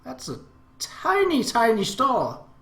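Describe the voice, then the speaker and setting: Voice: medium pitch, wildly swooping pitch, takes it slowly, a bit bright. A game host reading narration aloud and adding casual asides. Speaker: host reading and reacting to a visual novel. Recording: echoey room.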